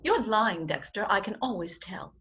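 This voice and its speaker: A woman playing a proud, sharp-tongued society bride in radio comedy style, with an imperious, theatrical manner. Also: medium pitch, expressive, normal speed, lightly acted. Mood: indignant, cutting. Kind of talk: 1940s radio comedy acting.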